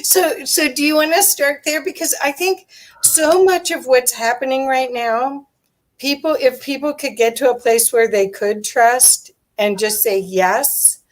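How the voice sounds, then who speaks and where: Slow-talking higher woman host. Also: steady tone, low-quality recording. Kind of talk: conversational interview.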